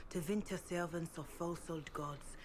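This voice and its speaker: An actress as a middle-aged holy woman in a fantasy video game, low in pitch and solemn. She counsels calmly in formal, measured phrases, devout and grave. Clear noise sits behind her voice. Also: natural voice. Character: calm, devout middle-aged religious Mother counselling softly. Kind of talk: video game dialogue acting.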